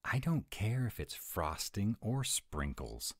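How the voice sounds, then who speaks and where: A man playing a gruff, grumbling tsundere were-dragon who is secretly soft, in an audio roleplay addressed to the listener. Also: on the low side, big pitch swings, takes it slowly, no echo, studio quality, exaggerated voice. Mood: reluctant, exasperated. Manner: grumbling, exasperated, softening into boyish eagerness.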